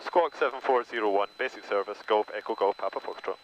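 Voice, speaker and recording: A man's voice: lowish voice, fast. A man piloting a light aircraft, making clipped, formulaic radio calls and reading back instructions over an aviation headset. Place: small propeller aircraft cockpit. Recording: dull, noisy cockpit intercom. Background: engine drone.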